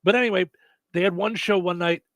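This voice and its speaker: A male radio host with a somewhat high, gravelly voice, chatting loosely on air. He wanders through anecdotes with plenty of fillers and restarts, like the comedian he is. The sound is close and dry, straight off a studio microphone. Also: fairly even intonation, fairly quick, fairly bright tone, no echo. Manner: rambling storyteller with many fillers and restarts. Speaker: stand-up comedian. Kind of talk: casual on-air radio chat.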